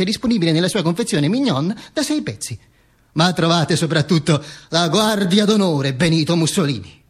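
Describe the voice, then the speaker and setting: An actor playing a dead, vain fascist dictator who narrates his own afterlife in a halting, mock-solemn stage monologue. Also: high voice, slightly rough, full character voice. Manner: halting, stammering repetitions, mock-solemn declaiming. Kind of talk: theatrical monologue, narrating to an audience.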